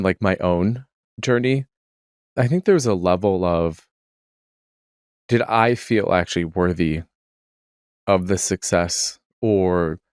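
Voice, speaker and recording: Male host, very low voice, reflective and searching, dry close sound. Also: a little mellow, no echo. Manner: thoughtful, searching, hesitant phrasing. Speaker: podcast host. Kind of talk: conversational interview.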